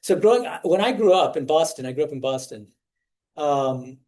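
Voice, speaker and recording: A man in his forties with a somewhat high voice leads a casual spiritual discussion with students. He talks conversationally, often restarting phrases and turning to quiz the people listening. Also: animated, normal speed, a little mellow. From United States. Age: 40s. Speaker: swami giving a spiritual talk to students. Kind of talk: informal spiritual talk with audience questions.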